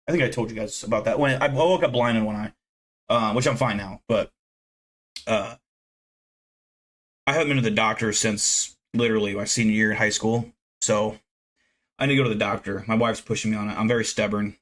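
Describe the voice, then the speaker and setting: A man's voice: on the low side, fairly even intonation, fairly quick, long pauses, a bit bright. A man in his thirties chatting casually with viewers on a live stream, rambling from one thing to the next and swearing freely. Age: 30s. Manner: rambling, profane, self-deprecating.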